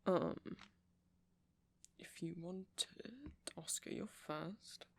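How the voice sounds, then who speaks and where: Nerdy, gentle vampire for ASMR roleplay, played by a man: creaky, halting, rambling, reassuring. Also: on the high side, steady tone, slight background noise, exaggerated voice. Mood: shy, kind. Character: nerdy, kindly, rambling male vampire music fan. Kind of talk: ASMR roleplay, soft conversational monologue.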